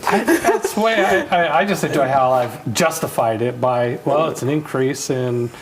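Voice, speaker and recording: A man's voice: mid-range voice, normal speed, background noise. A man playing a tabletop role-playing game who rambles and laughs at his own jokes. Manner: laughing, rambling, self-amused. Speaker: player. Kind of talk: casual game chat.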